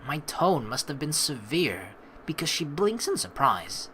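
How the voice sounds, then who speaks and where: Low-pitched, animated young woman gamer. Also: a little slow, slight background noise. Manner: rambling, colloquial, playfully expressive. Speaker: let's play host. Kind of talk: casual game commentary mixed with dramatic read-aloud narration. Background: faint game music.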